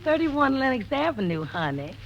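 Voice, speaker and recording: A woman's voice: high voice, big pitch swings, moderate pace, crowd noise. Sassy girlfriend, muffled old radio. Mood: sweet. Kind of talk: 1940s radio comedy acting. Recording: dull old radio broadcast.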